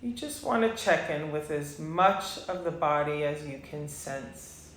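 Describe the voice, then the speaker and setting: A woman teaching a guided meditation class, speaking softly and unhurriedly with long pauses between phrases. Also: low-pitched, lively intonation, echoey room.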